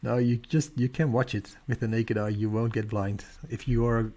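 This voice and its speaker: A man's voice: mid-range voice, moderate pace. A man with a Dutch accent hosting a live stargazing stream, chatting casually and answering viewers' comments with frequent laughs. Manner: relaxed, chatty, laughs often. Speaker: astronomy livestream host.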